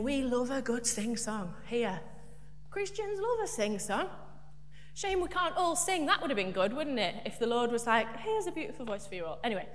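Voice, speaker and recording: A woman preaching a light, humorous sermon in church, chatty and self-deprecating. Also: a little high. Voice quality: big loud voice. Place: church hall. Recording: echoey church hall, faint hum.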